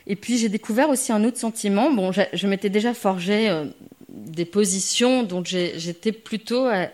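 A woman from France shares a personal testimony at a gathering. She speaks in a reflective, hesitant way, with frequent pauses and restarts as she searches for her words. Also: fairly low pitch, a little mellow.

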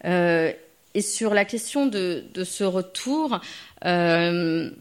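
A woman from France giving a reflective personal testimony to a gathering, thoughtful and hesitant, with frequent pauses and restarts. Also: on the low side, expressive, clear, resonant tone. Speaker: speaker at a gathering.

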